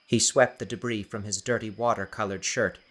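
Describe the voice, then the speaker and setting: A man reading a scripted audiobook as narrator, with a dramatic, deliberate storytelling manner. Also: on the low side, steady tone, fairly bright tone.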